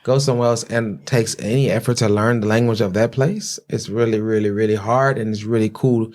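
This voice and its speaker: A man hosting an interview, talking in a relaxed way with a lot of "like.". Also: on the low side, a little fast. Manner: relaxed, filler-heavy with "like". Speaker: podcast host. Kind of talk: casual interview conversation.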